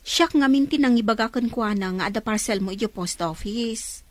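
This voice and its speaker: A woman's voice: fairly high pitch, normal speed, no echo, lightly acted. A woman playing a concerned, inquisitive older neighbour in a radio drama, with a Filipino accent. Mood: regretful.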